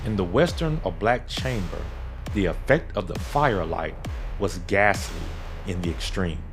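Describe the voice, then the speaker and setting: Animated male gothic horror narrator over music. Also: low voice, measured pace. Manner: theatrical, literary storytelling delivery. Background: background music.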